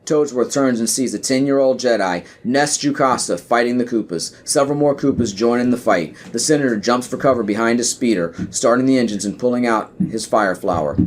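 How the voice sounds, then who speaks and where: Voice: mid-range voice, brisk, hardly any pauses. A podcast narrator reading scripted screenplay stage directions.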